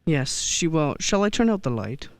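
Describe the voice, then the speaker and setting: An actor playing a kindly old Santa figure in a radio play, gentle and hesitant, often repeating words. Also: mid-range voice, sing-song, moderate pace, clear, resonant tone, dry recording, clean studio sound. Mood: genial. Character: kindly old man who believes he is Santa. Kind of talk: stage-style radio play acting.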